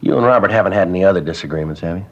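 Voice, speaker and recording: An actor playing a terse, matter-of-fact police detective who narrates the case in clipped, businesslike sentences, in a 1950s radio drama. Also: male voice, deep voice, racing. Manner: clipped, flat, businesslike delivery. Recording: muffled vintage radio broadcast.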